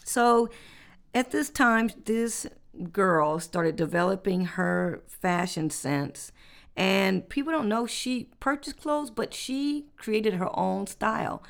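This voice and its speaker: A woman hosting a relaxed podcast chat at a medium pitch. She reads out facts in an easygoing, conversational way, and her voice has a gravelly, creaky texture. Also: takes it slowly. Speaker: podcast host. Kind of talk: casual chat.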